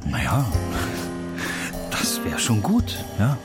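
A man's voice: fairly low pitch, wildly swooping pitch, slow pace, loud music behind the voice, heavily acted. An actor playing a middle-aged policeman in a radio play, questioning a child in a hesitant, colloquial, baffled way. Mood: probing, casual.

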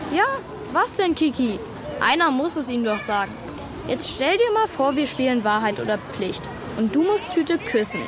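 A woman's voice: sits high, sing-song, normal speed. A female performer playing a cocky, mean schoolboy bully in a children's radio play, taunting and swaggering. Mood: smug, teasing. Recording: loud outdoor crowd and traffic noise.

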